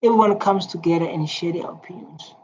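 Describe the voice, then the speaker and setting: A man's voice: sits high, a little fast, darkish tone, husky. A South African man with a Tswana accent, whose first language is Setswana, giving casual, repetitive reaction commentary. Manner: repetitive, rambling, emphatic. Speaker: online commentator. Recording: dull lo-fi, faint noise.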